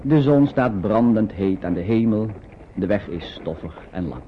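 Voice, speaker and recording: A man's voice: lowish voice, animated, measured pace, slight background noise. An actor narrating a scripted radio drama about wartime refugees in a man's storytelling voice. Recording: muffled phone-like old radio recording.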